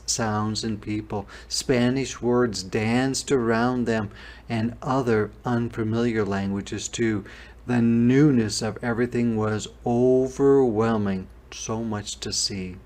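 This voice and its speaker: A man reading a children's storybook aloud as a teacher, pausing to explain things. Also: mid-range voice, steady tone, a little mellow.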